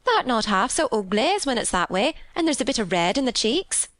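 A woman's voice: fairly high pitch, sing-song, a little fast, a bit bright, dry recording, voice pushed up. A woman voicing a kindly, plain-spoken young servant girl with a Yorkshire accent in a storybook. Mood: cheerful, encouraging. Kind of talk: audiobook character dialogue.

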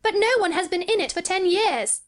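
A proud young boy from a storybook, played by a woman. His voice is pitched well up high. He speaks grandly and commandingly, like someone used to being obeyed. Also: expressive, normal speed, a bit bright. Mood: astonished. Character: proud, imperious sickly boy giving orders.

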